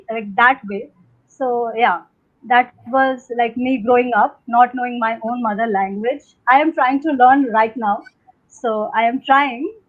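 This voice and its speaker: This is a young woman from India with a high voice, whose first language is Hindi, answering questions on a panel. She rambles and hesitates, with many fillers and restarts. Her voice comes through a thin, phone-like video call line. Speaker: panel guest. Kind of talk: unscripted conversational answers.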